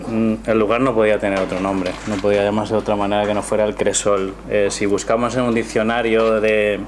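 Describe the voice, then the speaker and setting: A man giving a measured, reflective presentation of his book before an audience in a small cultural café. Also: on the low side, flat delivery. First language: not Catalan. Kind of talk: prepared presentation speech with poetry reading. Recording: noisy room ambience.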